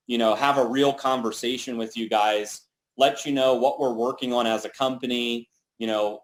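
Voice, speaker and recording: A man hosting a company team call, talking casually and upbeat, with lots of "you know" and "like". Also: medium pitch.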